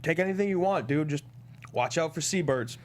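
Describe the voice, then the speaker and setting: A man's voice: a little high, brisk, slight background noise, lightly acted. A man playing a laid-back, confident young fly with breezy bro-speak in a comedy table read. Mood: breezy, cocky.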